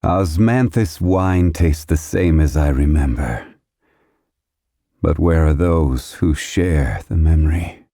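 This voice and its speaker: A man playing a composed, ancient, scholarly gentleman in a video game, speaking with measured, formal and deliberate diction. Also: very deep voice, dry recording, clean studio sound, exaggerated voice. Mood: wistful, reflective. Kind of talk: video game character voice lines.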